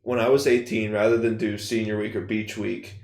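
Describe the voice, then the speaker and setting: Young rapper guest, deep-ish gravelly voice, loose rambling podcast chat in a reverberant room. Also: male voice, little pitch movement, fairly quick. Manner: laid-back, rambling, swears a lot. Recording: echoey room.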